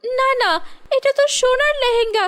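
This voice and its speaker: Poor, good-hearted girl in a fairy-tale cartoon, played by a woman; tiny high voice, earnest and imploring. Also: normal speed, a bit bright. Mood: earnest, pleading. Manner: earnest, pleading. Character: honest, poor, sweet young dancing girl.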